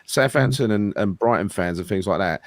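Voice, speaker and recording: A man's voice: lowish voice, moderate pace, no room sound. A man co-hosting a live fan stream, chatting emphatically and laughing easily, then thanking viewers as he closes the show. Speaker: co-host closing the show.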